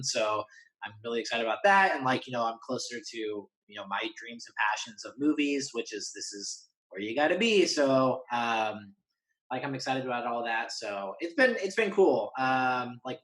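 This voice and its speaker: A man's voice: mid-range voice, a bit bright, rough recording. A man from Northern California, chatting casually as an interview guest in a rambling, slangy way. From Northern California, United States. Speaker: interview guest, horror journalist. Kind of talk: casual chat.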